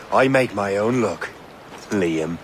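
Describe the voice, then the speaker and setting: An actor playing a cocky, playful young Irish assassin in a video game, bantering breezily in an Irish accent. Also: male voice, lowish voice, animated, slow pace. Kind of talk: video game cutscene acting. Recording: loud action noise behind.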